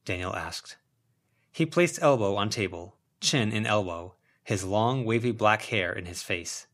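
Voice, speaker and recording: A man's voice: fairly low pitch, lively intonation, measured pace, fairly bright tone. A man reading fiction as an audiobook narrator, in a scripted storytelling style. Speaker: audiobook reader.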